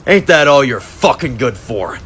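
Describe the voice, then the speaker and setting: A man's voice: medium pitch, very animated, normal speed, fairly bright tone, a little hiss or hum. A man voicing a defiant, angry male prisoner who swears at his captor. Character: defiant captured male fighter, angry and crude.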